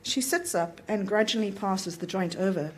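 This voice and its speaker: A woman's voice: mid-range voice, moderate pace, low-quality recording. A woman reading a literary short story aloud at an event, in the narrator's voice. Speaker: author reading own story.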